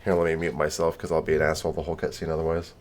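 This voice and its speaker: A man's voice: very deep voice, completely flat delivery, rapid-fire, slight background noise. A man doing casual livestream gaming commentary, rambling and sweary with a self-deprecating manner. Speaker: game livestreamer.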